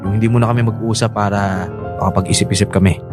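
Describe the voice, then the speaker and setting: A man's voice: lowish voice, steady tone, quick pace, natural voice. A man playing a remorseful, defensive unfaithful husband and young father in a Filipino radio drama, speaking with a Filipino accent. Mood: uneasy, resigned. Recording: music bed behind the voice.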